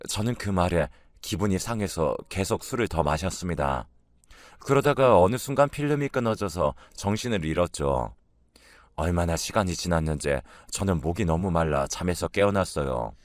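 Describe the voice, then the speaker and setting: A man's voice: low-pitched, animated, normal speed, no echo. A man reading a scripted first-person story as its narrator.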